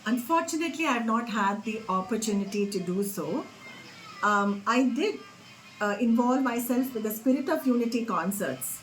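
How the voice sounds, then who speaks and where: A woman's voice: a little high, expressive, normal speed, echoey room, faint music behind, poor audio. A woman with an Indian accent answering interview questions conversationally, stressing her points by repeating words. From Singapore and Bombay, India. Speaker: interview guest, Carnatic singer.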